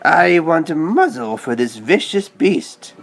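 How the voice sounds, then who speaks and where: A man voicing a doting, gushing older woman from a storybook, in a crooning, drawn-out manner. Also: fairly high pitch, big pitch swings, creaky, a little hiss or hum, pitched above the performer's own voice, full character voice. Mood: indignant, demanding.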